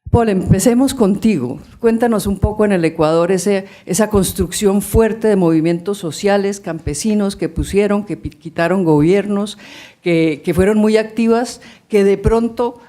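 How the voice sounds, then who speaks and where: A moderator opening a civil society panel discussion in a lecture hall, speaking thoughtfully with pauses and fillers. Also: medium pitch, warm tone.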